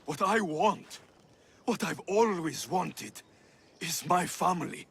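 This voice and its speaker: Earnest protective young mercenary brother in a video game, highish voice that moves around a lot, faint noise. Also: male voice, lively intonation, slow, creaky, a bit bright, slightly rough, exaggerated voice. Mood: earnest pleading. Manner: earnest, pleading. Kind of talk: dramatic video game acting.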